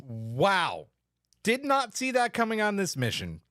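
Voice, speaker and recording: A man's voice: high-pitched, huge pitch swings, a little slow, fairly bright tone, dry recording, clean studio sound, heavily acted. A man reading the part of a smug, easygoing male starship commander and ladies' man in a comedic table read.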